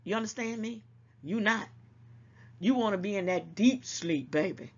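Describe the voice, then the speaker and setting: A woman's voice: mid-range voice, normal speed, slightly rough, muffled recording. An older woman hosting an online talk show, scolding and preaching to her viewers and calling them baby, at times a little congested. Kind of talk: casual commentary to viewers.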